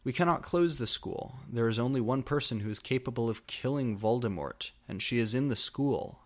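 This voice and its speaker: A man's voice: mid-range voice, animated, normal speed, lightly acted. An old, angry headmaster acted by a man in a comic story reading. He declaims with defiant emphasis, making each line a grand pronouncement.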